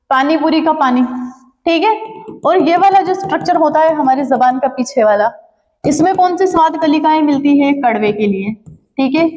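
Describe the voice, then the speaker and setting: A woman's voice: high-pitched, a little mellow, in a large hall, highs cut off. A woman teaching a lesson, asking the class questions and then answering them herself. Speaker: biology teacher.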